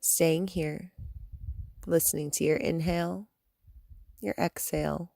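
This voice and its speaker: Female meditation guide: calm and gentle, very unhurried, lowish pitch, long pauses between instructions. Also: vocal fry, no room sound, studio quality. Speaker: yoga and meditation teacher.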